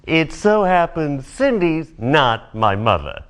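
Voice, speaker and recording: An actor playing a smug, cynical, wisecracking host of a brutal futuristic game show in a video game. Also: male voice, on the high side, very animated, slow, a bit bright, smooth, exaggerated voice. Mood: smug, mocking. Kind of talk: over-the-top TV game show presenting.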